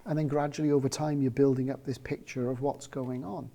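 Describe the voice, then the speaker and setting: Mellow-voiced man explaining calmly. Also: medium pitch. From South Wales. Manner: measured, explanatory, with frequent ums. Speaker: parapsychologist guest. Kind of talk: casual interview chat.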